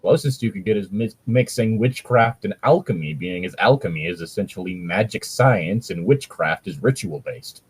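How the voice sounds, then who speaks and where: A man's voice: on the low side, animated, normal speed, few pauses, a little mellow, breathy edge. A man co-hosting a podcast in casual chat, swearing freely and complaining dryly as he reads and picks things apart. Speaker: podcast co-host. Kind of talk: casual chat, reading and mocking fan fiction.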